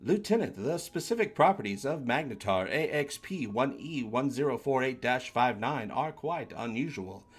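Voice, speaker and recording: A man's voice: medium pitch, animated, normal speed, hardly any pauses, husky. A man voicing a precise, emotionless machine science officer in a sci-fi comic read-along, speaking formally and exactly.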